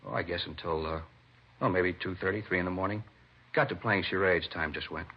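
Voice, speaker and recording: A defensive American civilian in an old radio crime drama. His husky voice runs fast and conversational, ready to bristle when questioned. The vintage recording sounds dull and limited. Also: sits low. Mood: explanatory. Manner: conversational, indignant when pressed. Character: defensive, talkative male civilian under police questioning. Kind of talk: 1950s radio drama acting.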